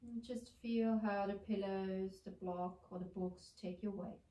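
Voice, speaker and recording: Slow-spoken woman with a stuffy cold. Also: mid-range voice, fairly even intonation. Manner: slow, gentle and soothing. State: congested with a cold. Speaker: yoga teacher. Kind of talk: guided yoga instruction. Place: bare living room with wooden floor. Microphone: far camera. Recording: echoey room, mic far off.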